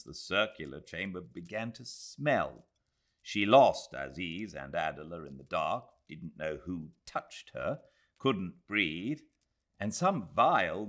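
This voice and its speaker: A British man narrates classic fiction in a low-pitched voice. His reading is expressive and moves through a wide, animated range of pitch. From Britain. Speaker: audiobook narrator.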